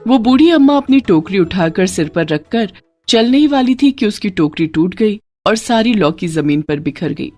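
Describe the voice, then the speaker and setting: A woman narrates a scripted story for children. Her delivery swings widely in pitch, at a medium register, with music clearly playing underneath. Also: expressive, moderate pace, darkish tone.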